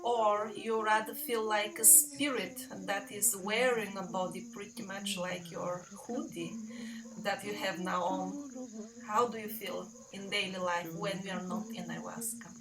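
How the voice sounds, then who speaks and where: A woman's voice: medium pitch, steady tone, unhurried. A woman interviewer asking questions in a conversational style. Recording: distant mic, clear crowd noise behind.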